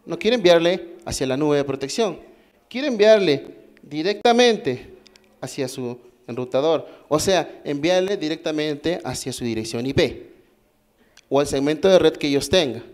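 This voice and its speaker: A man with a Peruvian accent speaks at a technical conference through a microphone. His voice sits a little high, and he explains step by step, pausing to put questions to the audience. He often breaks off and restarts his sentences mid-thought. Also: lively intonation, darkish tone. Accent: Peruvian Spanish. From Peru. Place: conference hall.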